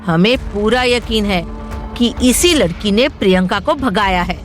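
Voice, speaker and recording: A woman's voice: medium pitch, unhurried, faint music behind, lightly acted. A woman playing a domineering, accusing middle-aged aunt in an audio drama, scolding and threatening. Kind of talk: Hindi audio drama acting.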